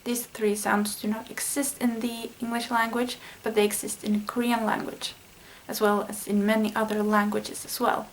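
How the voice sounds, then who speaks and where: A woman's voice: medium pitch, little pitch movement, moderate pace, few pauses, a little hiss or hum, rough recording. A Swedish-speaking woman explaining language study in a casual, earnest vlog lesson, thinking aloud with small fillers. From Sweden. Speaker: language learning vlogger.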